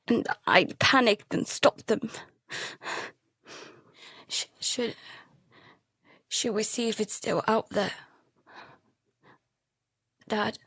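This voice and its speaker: A woman playing a frightened, guilt-ridden youngster who narrates and speaks in an audio drama, halting and stammering. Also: on the high side, breathy edge, no room sound, clean studio sound, full character voice. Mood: anxious, guilty. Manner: halting, stammering, breaking into whispers. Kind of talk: first-person audio drama narration with dialogue.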